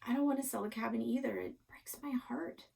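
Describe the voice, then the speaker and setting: Woman as caring mother, earnest, husky, creaky, children's book read-aloud. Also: a little high, brisk, mellow, distant mic, pitched above the performer's own voice, heavily acted. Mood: tender, consoling. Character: caring mother, firm but tender.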